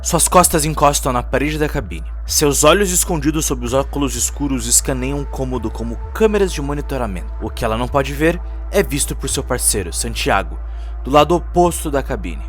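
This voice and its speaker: A man with a Brazilian Portuguese accent giving dramatic scripted narration of a cyberpunk story. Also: mid-range voice. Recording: music under the voice.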